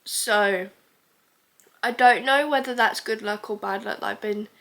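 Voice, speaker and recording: A woman's voice: medium pitch, measured pace, vocal fry, a bit bright. A young woman chatting casually in a reading vlog, stuffy with a cold and rambling as she thinks aloud. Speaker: book vlogger.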